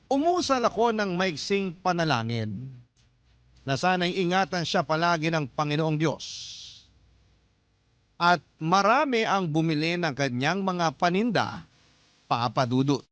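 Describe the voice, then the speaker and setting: A man narrating a scripted first-person radio drama story in a measured, sentimental way. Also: on the high side, animated, fairly bright tone, no echo. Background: prominent music.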